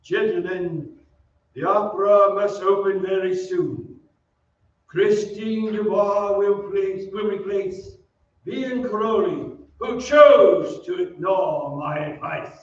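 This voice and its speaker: A man playing a courteous, menacing masked phantom in an old-time radio drama, speaking slowly and formally. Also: sits high. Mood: coldly formal. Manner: slow, formal, politely threatening. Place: theatre stage. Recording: very echoey stage, distant, dull, noisy.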